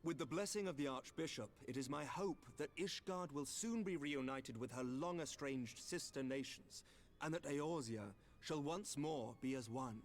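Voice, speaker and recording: Casting: noble lord from a game, measured formal oratory, even middle voice, read by a man. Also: medium pitch, lightly acted. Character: noble male lord giving a formal speech.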